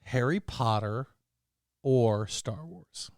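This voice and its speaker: A man's voice: medium pitch, animated, takes it slowly, darkish tone, dry recording, clean studio sound. A man co-hosting a casual group talk show, joking and telling little stories in a playful way.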